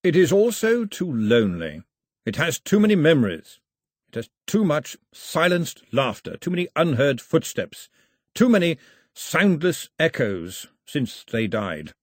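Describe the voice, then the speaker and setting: Man doing a resolute upper-class father, clipped, understated, husky tone, close dry sound. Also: mid-range voice, sing-song, normal speed, no echo, voice pushed up. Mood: grave.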